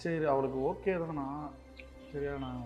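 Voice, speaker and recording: A man's voice: a little high, measured pace. A man chatting casually on a phone call in a hesitant, innocent-sounding way. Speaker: husband making a prank phone call. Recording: dull band-limited sound, music behind.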